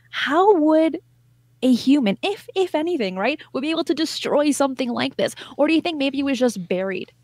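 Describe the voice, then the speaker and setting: A woman in her thirties hosting an interview, asking questions in a thoughtful, halting way. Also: fairly high pitch, no room sound. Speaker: host, interviewer. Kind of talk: conversational interviewing. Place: indoor room, video call.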